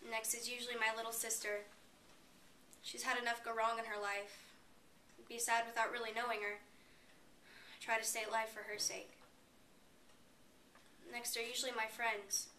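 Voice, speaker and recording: A woman's voice: on the high side, flat delivery, normal speed, long pauses, pushed and bright. A woman performing a dramatic stage monologue as a despairing, bitter young college student, emotive and confessional. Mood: sad, reflective. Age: young adult. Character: despairing suicidal college girl, bitter and pleading. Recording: roomy, distant mic, faint noise.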